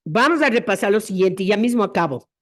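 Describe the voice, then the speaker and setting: A woman's voice: medium pitch, expressive, a little fast. A woman presenting an academic history lecture, explaining her reasoning step by step in a didactic way.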